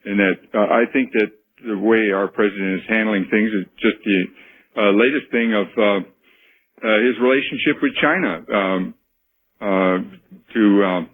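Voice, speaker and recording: An American man calling in to a radio show with his opinions, earnest and halting, often repeating words as he goes. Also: on the low side, breathy edge. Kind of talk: call-in opinion talk. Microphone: telephone. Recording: muffled noisy phone call on radio.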